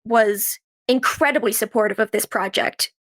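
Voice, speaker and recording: A woman in her twenties chatting casually as an interview guest, telling stories in an animated way with quoted asides. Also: a little high, normal speed. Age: 20s. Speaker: podcast guest, actress and writer.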